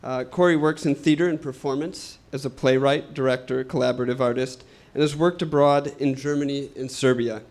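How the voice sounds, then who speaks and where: A man's voice: medium pitch, steady tone, fairly quick, few pauses, darkish tone. Man formally introducing a literary event guest. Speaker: event host introducing a translator. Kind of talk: prepared introduction, reading aloud a judge's statement.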